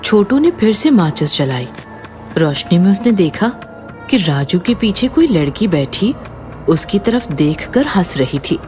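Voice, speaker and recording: Animated storyteller narrating horror over music. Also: medium pitch, measured pace.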